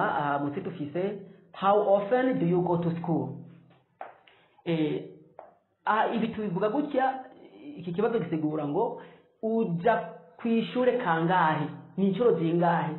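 Male teacher in his 30s, high voice, slow drilled phrases, phone-like echoey room recording. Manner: slow, drawn-out model phrases. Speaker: English teacher. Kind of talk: whiteboard language lesson. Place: small room with whiteboard.

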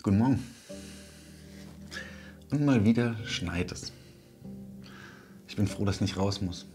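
A man in his forties speaks in a deep, low register, as if thinking aloud for a casual vlog. He takes his time and pauses between thoughts. Music plays faintly behind him. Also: mellow. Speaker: vlogger, audio drama maker. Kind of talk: casual video diary. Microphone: wireless clip-on lavalier.

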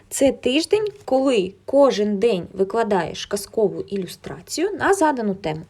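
A woman's voice: on the high side, dark tone. A young woman narrating a personal vlog in a candid, colloquial, conversational way. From Ukraine. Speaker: illustrator and art vlogger.

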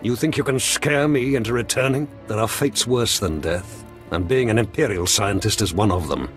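An actor playing a weary, idealistic, defiant scientist in a video game, with a dramatic, sardonic, impassioned delivery. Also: male voice, on the low side, normal speed, over music, close to an everyday voice. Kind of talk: video game character dialogue.

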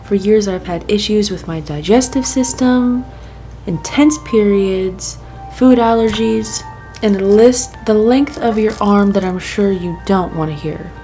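A young woman talks through her vlog in a relaxed, chatty voice, candid and upbeat even about hard things. Her voice sits at an ordinary pitch, and background music plays clearly under her narration. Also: unhurried. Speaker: vlogger.

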